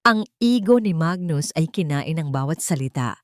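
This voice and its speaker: A woman with a slightly low voice reads a dramatic audio story. Her delivery is measured and solemn, and the recording is dry and close, with little room sound. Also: expressive, a little mellow, dry recording. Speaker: story narrator. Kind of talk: scripted dramatic audio story narration in Tagalog.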